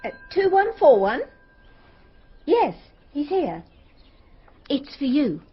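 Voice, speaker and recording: An actress playing a brisk, kindly, homely woman in a radio drama, chatty and matter-of-fact. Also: high voice, very animated, exaggerated voice. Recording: dull radio drama recording.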